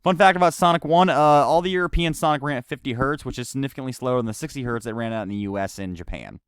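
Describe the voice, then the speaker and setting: A man from the United States reviewing a video game in casual, rambling, halting, sweary unscripted commentary. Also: mid-range voice, clear, resonant tone, dry recording, studio quality. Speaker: gaming reviewer. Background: game music.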